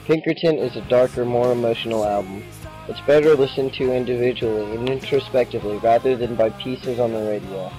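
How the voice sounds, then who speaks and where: A young man reads his scripted review in a flat, monotone way, with no conversational lift. His pitch sits in an ordinary middle range. Clear music plays beneath his voice throughout. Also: low-quality recording. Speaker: student podcast reviewer.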